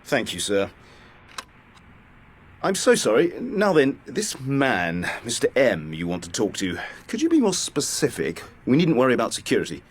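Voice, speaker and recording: An actor playing a polite, evasive British military officer in a radio drama, hesitant and officious. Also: male voice, medium pitch, wildly swooping pitch, normal speed, breathy edge. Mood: flustered, courteous.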